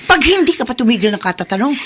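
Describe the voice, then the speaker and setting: Callous, bossy aunt, higher-pitched. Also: female voice, sing-song, fast talker, slight background noise. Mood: impatient, menacing. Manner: bossy, curt. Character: callous, threatening scheming aunt. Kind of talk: Filipino radio drama acting.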